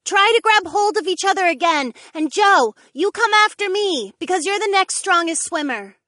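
A man doing a high, earnest mermaid woman. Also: lively intonation, moderate pace, pushed and bright, no echo, clean studio sound, exaggerated voice. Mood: urgent. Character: determined young mermaid woman, brave leader. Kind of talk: audiobook character voice.

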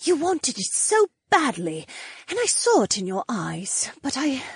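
A woman playing a gentle, earnest, formal noblewoman with a breathy voice in a fantasy audiobook. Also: high voice, wildly swooping pitch, unhurried, no room sound, heavily acted. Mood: earnest, remorseful. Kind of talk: fantasy audiobook character acting.

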